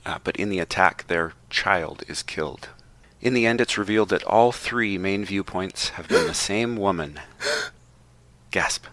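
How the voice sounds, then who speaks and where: Low, bright-voiced man reading wryly. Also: a little slow, dry recording. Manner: wry, tongue-in-cheek delivery. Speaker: podcast co-host reading a book synopsis. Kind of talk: reading a prepared summary with joking asides.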